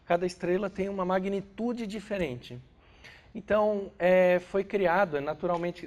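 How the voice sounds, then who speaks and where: Man, Brazilian accent, higher-than-average pitch, congested from a cold, classroom lecturer, explanatory, frequent check-in tags. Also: darkish tone. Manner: didactic, checks in with short tag questions. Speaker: astrophysics lecturer.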